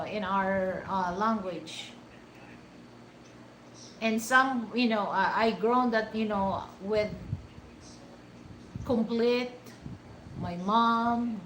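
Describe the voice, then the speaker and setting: Slow-talking Filipino-accented woman vlogger. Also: fairly high pitch, a bit bright, roomy sound, slight background noise, low-quality recording. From Philippines. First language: Hiligaynon. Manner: rambling, many restarts and fillers. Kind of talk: casual talk to camera.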